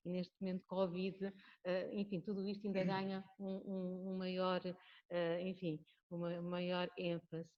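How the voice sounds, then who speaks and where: Hesitant lower-voiced woman, muffled audio. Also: monotone, a little slow. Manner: hesitant, many "eh" and "enfim" fillers. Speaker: guest speaker, HR professional. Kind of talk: speech at a web conference. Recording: muffled web-call audio.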